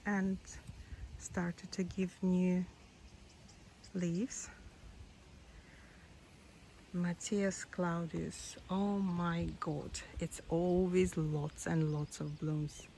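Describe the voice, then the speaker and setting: Slow, hoarse, gravelly woman touring her garden. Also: lowish voice, flat delivery, mellow, slight background noise, low-quality recording. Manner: slow, admiring, frequent throat clearing. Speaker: garden vlogger. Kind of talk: casual garden tour. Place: outdoor rose garden.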